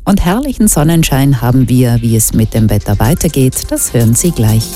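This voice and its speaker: Woman, news anchor, very low pitch, dark relaxed tone, scripted reading. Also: normal speed, faint music behind.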